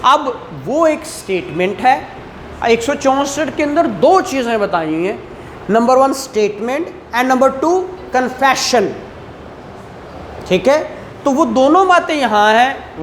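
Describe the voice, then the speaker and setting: A woman with a Pakistani accent giving an explanatory law lecture, often checking that students follow with short tag questions. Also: on the high side. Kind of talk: explanatory classroom lecture mixing Urdu and English legal terms. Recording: clearly noisy room recording.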